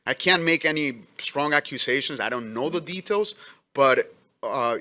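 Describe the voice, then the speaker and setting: A man's voice: mid-range voice, lively intonation, pushed and bright. Immigrant man with a non-native accent, earnest hearing witness, keen and tense in tone, stumbling over restarts. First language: not English. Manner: earnest, halting, many restarts. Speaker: letter carrier giving testimony. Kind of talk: testimony at a public hearing.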